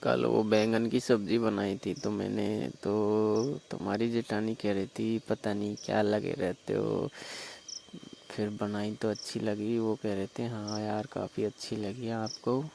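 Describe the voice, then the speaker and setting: A man acts a flirtatious Rajasthani village brother-in-law with a lowish, heavily creaky voice. He coaxes persistently and informally, as in a late-night phone call. Faint noise and night insects sit behind him. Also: flat delivery, unhurried, warm tone. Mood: casual, flirtatious. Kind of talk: staged phone-call role-play in casual talk.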